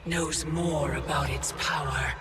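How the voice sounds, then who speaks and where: An actress voicing an imperious video game villain, a calm, scheming titan who taunts with haughty formality. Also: female voice, low-pitched, heavy background noise. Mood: taunting, imperious. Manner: slow, haughty, mocking formality. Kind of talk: dramatic video game acting.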